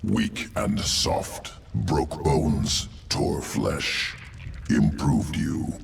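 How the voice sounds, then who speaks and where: A man voicing a cold, clinical alien insectoid evolution master in a video game, with a guttural creature rasp and clipped, telegraphic, detached speech. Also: rumbling low, big pitch swings, heavy background noise, exaggerated voice. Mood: cold, dismissive. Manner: clipped telegraphic sentences without articles, detached and deliberate. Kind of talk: video game character acting. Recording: music behind the voice.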